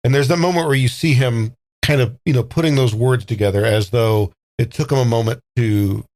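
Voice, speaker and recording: A man role-playing in a tabletop game, with a measured, rather formal way of speaking. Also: mid-range voice, a little mellow, dry recording. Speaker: player. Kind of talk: improvised in-character role-play.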